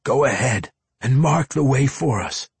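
A man voicing a stern, noble elf warrior in a fantasy story, speaking in a formal, measured and challenging way. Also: low voice, darkish tone, husky, no echo, heavily acted. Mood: urgent.

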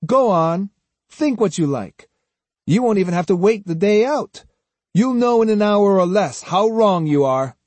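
A man's voice: high voice, expressive, normal speed, mellow, dry recording, pitched above the performer's own voice. A man voicing an arrogant, boastful young swordsman with a pompous, sneering and emphatic delivery in a storybook reading. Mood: snide, warning. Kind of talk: dramatised audiobook storytelling.

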